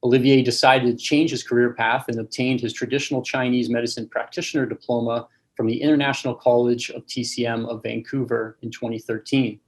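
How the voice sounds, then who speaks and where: A young adult man hosting a webinar over a video call, reading a formal speaker introduction and giving relaxed housekeeping notes. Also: medium pitch, brisk. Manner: reads a formal introduction, then casual housekeeping with fillers. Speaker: webinar host, campus manager.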